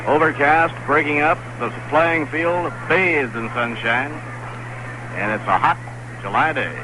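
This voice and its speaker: Man, baseball play-by-play announcer, 1960s radio, brisk easygoing delivery, slightly high voice, noisy old broadcast.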